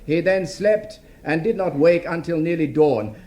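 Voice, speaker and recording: A man acting a fussy male court official in a radio play. His voice is pitched high. He reads formally and primly, hesitating over his words as he goes. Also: unhurried, dark tone, close to an everyday voice. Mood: flustered, halting. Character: fussy, halting court official reading out charges. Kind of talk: radio drama, formal reading of evidence.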